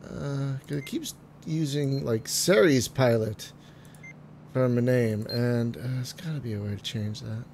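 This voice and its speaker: A man getting over a cold, phlegmy and clearing his throat, chatting casually through a game with many ums and trailing remarks. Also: mid-range voice, a little slow, a little hiss or hum. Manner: chatty, frequent ums and uhs, trailing off quietly. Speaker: gaming video host. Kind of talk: casual let's-play commentary.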